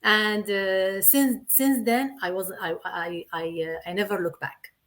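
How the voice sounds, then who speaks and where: A woman's voice: mid-range voice, fairly even intonation, unhurried, fairly bright tone. A woman from Syria in her thirties, a guest in a casual interview, talking earnestly in simple, repetitive English. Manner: earnest, repeats herself, simple non-native English.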